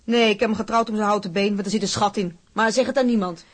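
An actress playing a feisty, proud working-class wife in a radio drama, fiercely loyal, blunt and sardonic. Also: female voice, medium pitch, fairly even intonation, fast talker, close to an everyday voice.

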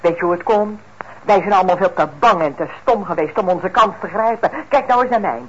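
A woman's voice: mid-range voice, a little fast, fairly bright tone, slightly rough. An actress playing a bitter, manipulative mother nearing forty in a radio play, nagging and wheedling. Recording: dull, noisy old radio recording.

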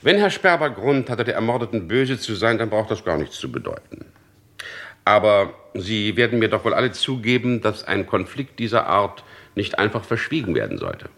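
An actor playing a stern, insistent police chief inspector in a radio crime drama, speaking formally and pointedly. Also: male voice, on the low side, big pitch swings, moderate pace, a bit bright. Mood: firm, insistent.

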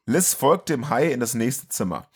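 A man's voice: medium pitch, sing-song, normal speed, husky. A man co-hosting a podcast, recapping and chatting about a film in a casual, colloquial way. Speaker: podcast co-host.

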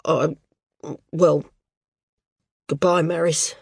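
A bashful, awkward young man, voiced by a woman narrator, stammering in a low, everyday tone. The sound is dry and close. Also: flat delivery, very slow, creaky, slightly rough, no echo, exaggerated voice. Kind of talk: audiobook character reading.

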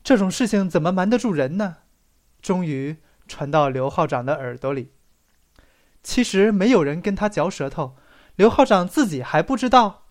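An audiobook reader narrating a literary story in an expressive storytelling manner that stresses the dramatic phrases. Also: medium pitch, normal speed.

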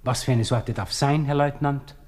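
An actor playing a deferential, gossipy Viennese coffeehouse waiter with an Austrian accent in a radio drama. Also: male voice, mid-range voice, steady tone, moderate pace, a little mellow, a little hiss or hum, close to an everyday voice. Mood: obliging. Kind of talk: 1960s radio drama dialogue.

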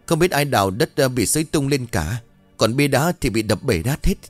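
A male storyteller puts on a scared young farmhand in a village horror tale. The voice is raised high, deferential and panicky over music. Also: animated, moderate pace, no room sound, lightly acted. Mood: alarmed. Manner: panicked high-pitched crying out.

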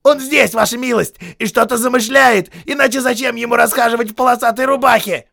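A man voicing a foul-mouthed, groveling army sergeant who screams and pleads in a shrill, hoarse voice, in an audiobook. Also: fairly even intonation, a little fast, bright, forceful tone, heavily acted. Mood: insistent. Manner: screaming, pleading, spitting insults.

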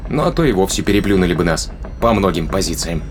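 A man's voice: low voice, expressive, fast, slight background noise. A man playing a sardonic, reasoning spaceship crewman in an audio drama, explaining things in a colloquial way. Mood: wry, explanatory.

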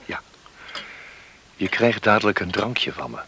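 An actor in a Dutch radio play playing a kindly, practical village doctor with a measured, reassuring manner. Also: male voice, on the low side, lively intonation, creaky. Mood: calm, reassuring. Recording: noisy old radio play recording.

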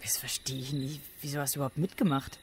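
A woman's voice: a bass voice, fairly even intonation, fast talker, a little hiss or hum. A female performer playing a veteran's young daughter in a radio drama, asking blunt, childlike questions in a colloquial way. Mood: curious, puzzled. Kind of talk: naturalistic radio drama dialogue.

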